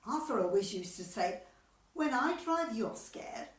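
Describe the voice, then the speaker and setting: A woman's voice: a little high, very animated, a little slow. Woman as a dithery older English widow, echoey. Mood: rambling, apologetic. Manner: rambling, hesitant, wistful. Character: dithery, chatty older widow, mild and apologetic. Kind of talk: amateur radio comedy acting. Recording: echoey, distant mic, faint noise.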